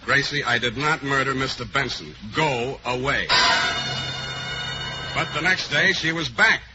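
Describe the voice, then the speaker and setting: An actor playing an exasperated hard-boiled private eye in a 1940s radio comedy. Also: male voice, medium pitch, a little slow, loud music behind the voice, lightly acted. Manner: gruff, exasperated. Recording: dull old radio recording with loud hiss.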